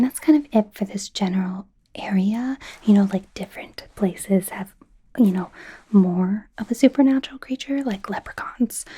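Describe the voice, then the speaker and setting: A woman playing an eager, wry young werewolf medical student in a whispered ASMR roleplay, chatty and a little hesitant. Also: mid-range voice. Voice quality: breathy, soft whisper. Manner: chatty, hesitant, gently sarcastic, giggly.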